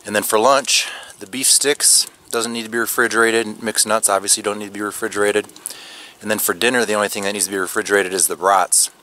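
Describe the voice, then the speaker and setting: A man's voice: lowish voice, quick pace, slight background noise. Chatty man vlogging at arm's length. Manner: relaxed, chatty, with fillers and restarts. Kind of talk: casual vlog talk to camera. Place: outdoors at a snowy campground and inside a camper. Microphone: handheld camera at arm's length.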